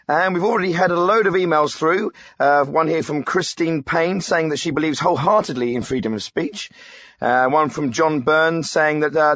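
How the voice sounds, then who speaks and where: Lightish-voiced, self-righteous radio host. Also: male voice, on the high side, fairly bright tone, no echo. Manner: stammering when challenged, glib. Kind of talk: spoof radio phone-in presenting.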